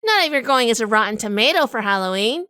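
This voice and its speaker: Woman playing a bright, sardonic gangster-drama wife. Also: a little high, lively intonation, fast talker, no echo, studio quality. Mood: exasperated, teasing. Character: quick-witted, sharp-tongued wife among gangsters, also narrating. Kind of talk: hardboiled 1940s gangster audio drama acting.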